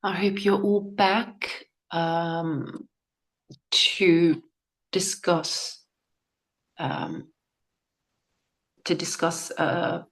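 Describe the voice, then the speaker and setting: A woman leading an online workshop over a video call, halting and full of fillers as she talks. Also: on the low side, fairly even intonation. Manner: halting, with frequent fillers and restarts. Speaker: workshop speaker.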